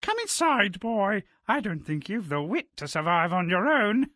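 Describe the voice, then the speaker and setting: A woman's voice: medium pitch, sing-song, measured pace, fairly bright tone, no room sound, clean studio sound. A woman voicing a haughty, cunning giant's wife, theatrical and scolding, in a cartoonish game. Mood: sly. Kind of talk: cartoonish video game acting.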